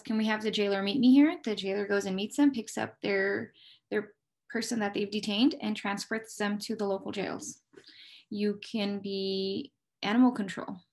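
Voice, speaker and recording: A woman's voice: mid-range voice, steady tone, moderate pace. American woman explaining her work, candidly. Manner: candid, explanatory, poses rhetorical questions and answers them. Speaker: police dispatcher, interview guest. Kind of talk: conversational interview answers, explaining a career.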